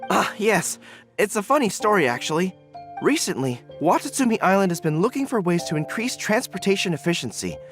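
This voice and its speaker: Game casting: earnest young male general, high boyish voice, animated, modest, easily flustered. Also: a little fast, faint music behind. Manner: earnest, excitable, slightly awkward. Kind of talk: video game cutscene acting.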